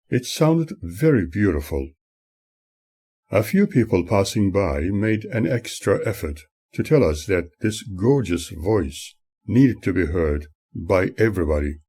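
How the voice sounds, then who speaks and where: An older man reads his memoir in a measured, earnest tone. His voice sits low and dark, with a husky grain to it. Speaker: author reading his own memoir. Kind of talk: memoir reading.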